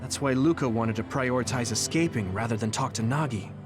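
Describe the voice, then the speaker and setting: A man's voice: mid-range voice, a little fast, over music, lightly acted. An actor playing an earnest, idealistic young male squad member in a video game.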